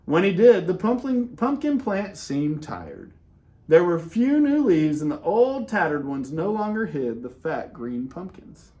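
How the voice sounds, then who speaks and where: A man reading a children's picture book aloud in an expressive way, with dramatic pauses and casual, conversational delivery. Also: sits high. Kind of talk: animated read-aloud with casual asides.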